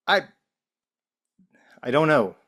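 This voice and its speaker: A man playing a bewildered, agitated captive in a script table read, demanding answers in an urgent, halting way. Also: on the high side, big pitch swings, fairly bright tone, full character voice. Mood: confused, wary.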